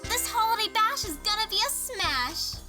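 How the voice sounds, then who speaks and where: A woman voicing a bubbly, excitable young pony girl in a cartoon-style audio drama. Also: extremely high pitch, normal speed, music in the background. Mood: thrilled. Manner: perky, excitable.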